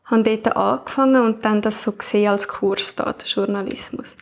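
A woman's voice: mid-range voice, steady tone, normal speed, creaky. A young woman with a Swiss German accent chatting casually in an interview. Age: young adult. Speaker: new podcast team member.

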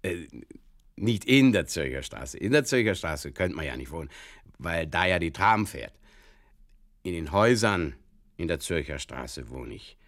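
A man's voice: sits low, big pitch swings, a little slow, a bit bright, no echo, studio quality, heavily acted. A man playing a tipsy, befuddled rambler who hesitates and pedantically corrects himself in a comic audio play. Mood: befuddled, pedantic.

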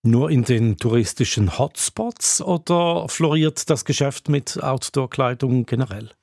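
A man's voice: medium pitch, measured pace, creaky, darkish tone, no echo, studio quality. A man hosting a radio business show, presenting a script with light banter. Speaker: show host.

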